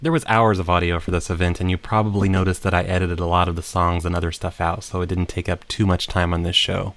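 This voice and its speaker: Deep-voiced man narrating briskly, gravelly edge. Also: little pitch movement, fairly bright tone. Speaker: podcast host. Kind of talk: scripted podcast narration.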